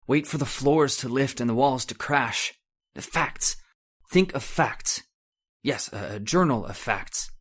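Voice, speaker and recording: Male actor as obsessive, bitter chemist; mid-pitched, brooding, repetitive, old-time radio horror. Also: animated, measured pace, husky. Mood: haunted, despairing. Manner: broken, repetitive brooding and curt commands.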